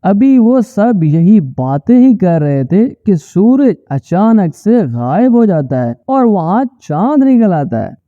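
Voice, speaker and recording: A man's voice: high voice, very animated, slow, few pauses, dry recording, narrow, tinny audio. A man narrating a scripted children's story as the storyteller.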